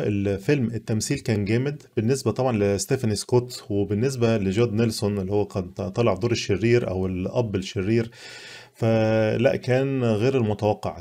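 A young Egyptian-accented man talks his viewers through a film review at a brisk clip. His voice is dark and mellow, and he sounds chatty and earnest, as if speaking straight to a friend. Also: medium pitch. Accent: Egyptian Arabic. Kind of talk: casual spoken film review.

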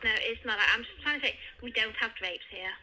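Sharp, firm woman on a phone line. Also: fairly high pitch, expressive, fairly quick. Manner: firm, matter-of-fact. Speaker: casino receptionist. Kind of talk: phone customer service. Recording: noisy phone call on speaker.

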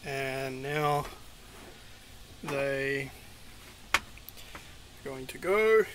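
A man's voice: mid-range voice, expressive, extremely slow, vocal fry, noisy background. An Australian man talking casually through a cooking walkthrough inside a small van, with many ums and restarts. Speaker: vlogger.

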